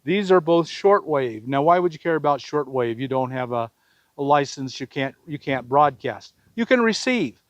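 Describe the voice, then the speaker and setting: A man's voice: a little high, animated, normal speed, no room sound. A man hosting a casual product review, talking plainly to viewers and repeating words for emphasis. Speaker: video host reviewing products.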